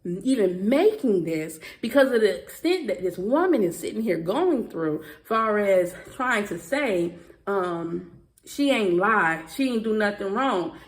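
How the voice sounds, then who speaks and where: A Southern woman speaking to her viewers in a heated casual commentary, talking fast and emphatically with frequent "okay"s and restarts. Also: fairly high pitch. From Southern United States. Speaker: YouTuber.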